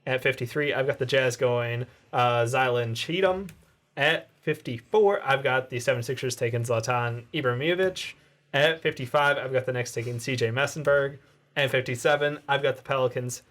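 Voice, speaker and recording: A man co-hosting a sports podcast, reading his draft picks fast with casual jokes. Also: mid-range voice, fairly bright tone. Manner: casual, joking, laughs mid-list. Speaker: podcast co-host. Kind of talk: rapid-fire mock draft reading.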